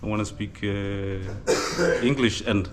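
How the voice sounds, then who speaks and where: A man's voice: lowish voice, slow, noisy background. A man in his twenties with a Danish accent tinged with Fijian, answering viewer questions hesitantly in a casual chat, with many fillers. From Denmark. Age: 20s. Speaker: vlogger. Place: village gathering in Fiji.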